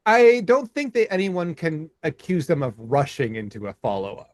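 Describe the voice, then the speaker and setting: A male podcast co-host chatting casually, his pitch leaping around as he laughs and trips over his own restarts. He sits a little higher than most men and the sound is dry and close to the mic. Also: normal speed, no room sound. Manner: animated, laughs often, restarts sentences. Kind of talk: casual chat.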